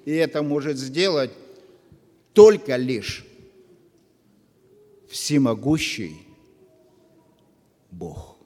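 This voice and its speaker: A man preaching a sermon to his congregation, earnest and full of pauses. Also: medium pitch, sing-song, a little mellow. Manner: slow, earnest, with long pauses. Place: church hall. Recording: long reverb of a church hall.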